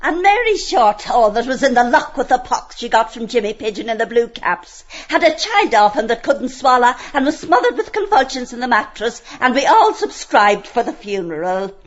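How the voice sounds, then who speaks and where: A woman's voice: fairly high pitch, very animated, moderate pace, hardly any pauses, lo-fi sound. An actress playing a gossiping brothel woman in an audiobook play, with a chattering, run-on delivery.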